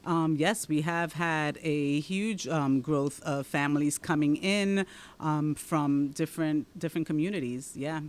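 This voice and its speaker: A woman with a Dominican accent, answering in a steady, explanatory way and often tagging her points with a questioning "right?". She speaks as a guest in a conversational interview. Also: low voice, unhurried. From Dominican Republic. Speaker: guest, township committeewoman and teacher.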